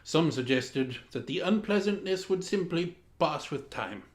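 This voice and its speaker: A man playing a pompous Georgian lord, reading a children's storybook with dramatic, deliberate diction. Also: on the high side, animated. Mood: arch, indignant. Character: pompous Georgian English lord reading a mad royal tale. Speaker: storyteller, host in the guise of a lord. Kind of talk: theatrical children's storybook narration. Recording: roomy echo, music underneath.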